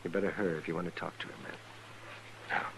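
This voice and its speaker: An American actor playing a sombre, consoling town doctor in an old radio western, gentle and measured. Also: male voice, low-pitched, fairly even intonation, vocal fry. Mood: hushed, grave. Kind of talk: old-time radio drama acting. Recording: faintly hissy old radio recording.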